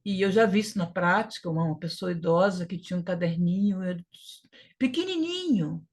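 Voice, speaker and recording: A woman's voice: on the low side, fairly even intonation, fast talker, a little mellow. A woman with a Brazilian Portuguese accent giving an informal English lesson, sounding out syllables emphatically and repeating key words. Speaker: English teacher.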